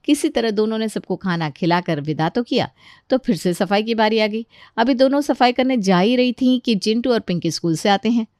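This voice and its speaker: A woman's voice: a little high, moderate pace, darkish tone, no echo, studio quality. A woman reading scripted narration for a children's story.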